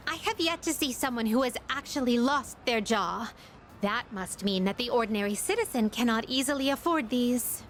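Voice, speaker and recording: A woman's voice: fairly high pitch, animated, normal speed, faint music behind, close to an everyday voice. A woman playing an elegant, formal and naively curious young lady in a video game, speaking in ornate, precise words. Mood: curious, polite.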